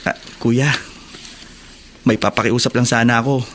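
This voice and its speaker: A man's voice: mid-range voice, steady tone, moderate pace, fairly bright tone, a little hiss or hum, lightly acted. A man acting as an earnest young prisoner and younger brother in a Tagalog radio drama, with emotional delivery. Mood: earnest, pleading.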